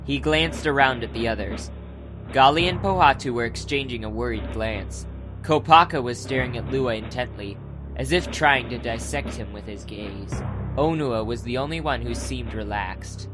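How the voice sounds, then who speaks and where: A man with a medium-pitched voice delivers scripted story narration. It is a fantasy audiobook, with music playing clearly beneath him. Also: moderate pace, rough recording. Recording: loud music bed under the narration.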